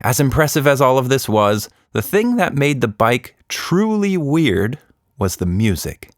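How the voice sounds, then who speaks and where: A man narrating a scripted children's story in an expressive storyteller's manner. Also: medium pitch, measured pace, no echo, studio quality. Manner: expressive, animated reading.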